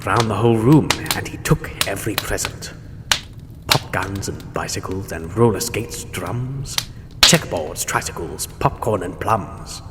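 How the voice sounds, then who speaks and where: A man's voice: on the low side, measured pace. A man narrating a rhyming story dramatically as the storyteller of a show. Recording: echoey hall, noisy background.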